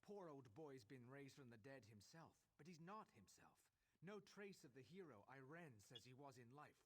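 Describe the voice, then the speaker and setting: An actor playing a grim, battle-weary army commander in a video game, blunt and urgent. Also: male voice, medium pitch, big pitch swings, moderate pace. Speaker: game character, military commander.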